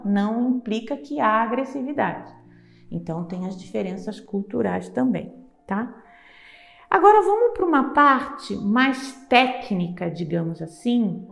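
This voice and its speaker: A woman's voice: mid-range voice, gravelly, echoey room. A woman from Rio de Janeiro with a Carioca accent, lecturing psychologists in a didactic way and often checking in with "tá?". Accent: Carioca Brazilian Portuguese. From Rio de Janeiro, Brazil.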